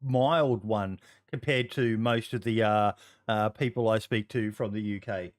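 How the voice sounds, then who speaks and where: A man's voice: lowish voice, steady tone, unhurried, dry recording. A man hosting a casual podcast, asking curious questions of his guest.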